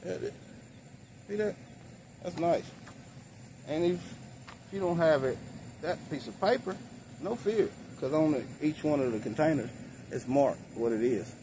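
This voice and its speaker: A man's voice: a little slow, long pauses. A middle-aged man talking casually and plainly as he shows gardening supplies, recorded outdoors in a backyard. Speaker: home gardener vlogger. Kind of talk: casual product demonstration. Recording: noisy outdoor ambience, traffic-like rumble.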